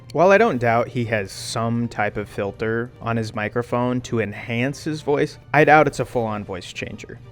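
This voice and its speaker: A man delivering scripted video commentary in a casual, opinionated way. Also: mid-range voice. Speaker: video essay narrator.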